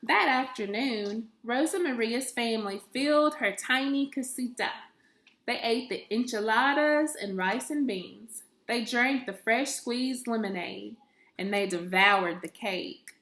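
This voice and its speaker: A woman's voice: fairly high pitch, slow, fairly bright tone. A woman reading a children's story aloud expressively, like a teacher reading to a class. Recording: echoey, roomy sound.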